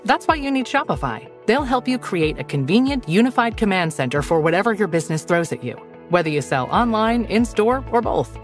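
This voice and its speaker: A female announcer reads a scripted commercial with very lively, varied intonation. Her pitch is average for a woman, and music plays softly underneath. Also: fairly quick, few pauses. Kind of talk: scripted advertisement read.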